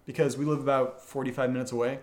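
A man's voice: medium pitch, level tone, fast. A man hosting a podcast Q&A in a casual, chatty style. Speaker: podcast host and voice actor. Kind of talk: casual chat, Q&A. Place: indoor room, live-streamed session. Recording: echoey room.

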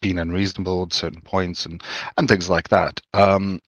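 A man from Zimbabwe, co-hosting a podcast, talks in a halting, thoughtful way and often repeats his words. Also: sits low, little pitch movement, no echo, muffled recording. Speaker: podcast co-host. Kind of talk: casual chat.

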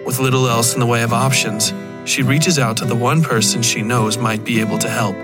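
A man narrating scripted dark fiction as a podcast host, with music behind him. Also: medium pitch, level tone, brisk.

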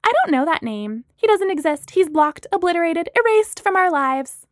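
A woman's voice: sits high, big pitch swings, measured pace, no echo, clean studio sound. A woman voicing a brash, bossy young female friend in an audiobook, blunt and emphatic. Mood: dismissive. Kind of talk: acted audiobook dialogue.

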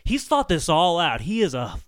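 Guy on a comedy podcast, high voice, really lively, mock-serious takes, close dry sound. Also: normal speed, dry recording. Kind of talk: casual comedic banter about a song.

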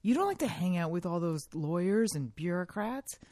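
A woman acting an evasive wife in a drama, soothing and defensive by turns. Her voice is mellow and close, with a dry sound and lively swings in pitch. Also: normal speed, no echo, close to an everyday voice. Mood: defensive, placating. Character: evasive wife placating a suspicious spouse. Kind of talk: scripted drama dialogue.

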